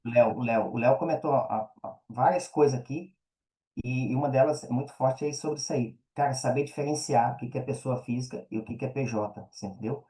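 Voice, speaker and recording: A man's voice: medium pitch, fairly quick, mellow, low-quality recording. A man with a Brazilian accent speaking as a podcast guest, chatting informally and often checking that the listener follows. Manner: informal, peppered with check-in tags. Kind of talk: casual interview chat.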